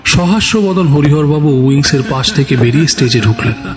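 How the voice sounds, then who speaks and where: A male storyteller with a slightly high voice delivers dramatic scripted narration. His delivery is theatrical and full of suspense, with music playing underneath. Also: lively intonation, normal speed. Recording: background music.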